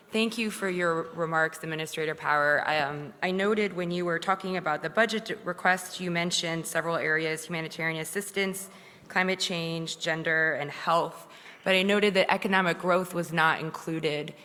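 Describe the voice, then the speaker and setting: A woman with a notably low voice asks a composed, businesslike question. She lists her points in order. A long hall reverb trails her words. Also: fairly even intonation, moderate pace, pushed and bright. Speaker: audience member asking a question. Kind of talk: prepared question from the floor. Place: large conference hall.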